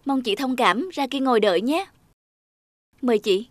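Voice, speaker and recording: A woman voicing several characters in a dubbed cartoon, with clear storytelling delivery. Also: fairly high pitch, normal speed, no room sound. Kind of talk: cartoon dubbing, voicing many roles.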